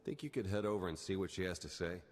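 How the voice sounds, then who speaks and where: Earnest male agent; low, husky, calm; some hall echo. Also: quick pace. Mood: briefing. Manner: calm, focused briefing.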